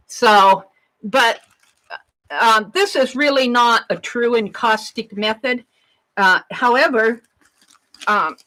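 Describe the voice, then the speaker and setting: A woman hosting an art livestream in casual chat, with a loud, projected voice, rambling and thinking aloud as she demonstrates. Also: a little high, low-quality recording.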